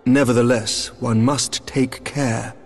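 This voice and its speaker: An actor voicing a courteous knight commander in a fantasy game, speaking formal, measured lines with a British accent. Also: male voice, mid-range voice, steady tone, soft background music. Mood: composed, earnest. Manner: formal, stately, measured diction. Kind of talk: dramatic fantasy game voice acting.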